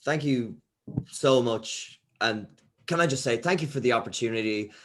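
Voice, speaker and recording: A man's voice: mid-range voice, moderate pace, fairly bright tone, a little hiss or hum, natural voice. Casting: awkward, grateful male musician, mid-range voice, hesitant, for a script read, played by a man.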